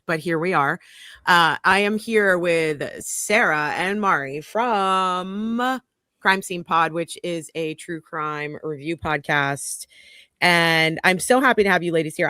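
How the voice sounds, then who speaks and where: A woman's voice: on the low side, no room sound, clean studio sound. An American woman hosting a live news talk stream, chatty with frequent ums and restarts, on a home video call. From United States. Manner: chatty, warm greetings, many ums and restarts. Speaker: host of a news show.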